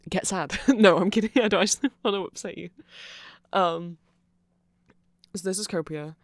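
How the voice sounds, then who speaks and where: A man with a slightly high voice talks in a casual, rambling ASMR explainer style. He sounds nerdy and keen on his subject, and the recording is close and dry with little room sound. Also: normal speed, no room sound. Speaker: ASMR audio creator.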